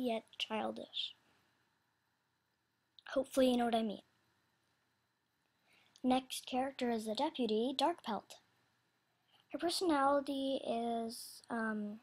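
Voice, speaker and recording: A woman giving a casual, unscripted announcement as an animation creator, halting and scatterbrained, often interrupting herself, in a husky, breathy voice. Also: high voice, a little mellow.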